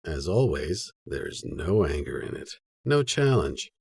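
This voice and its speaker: A man reading a literary story as an audiobook narrator, in a measured, sober storytelling manner. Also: extremely low pitch, very animated, vocal fry, darkish tone, slightly rough.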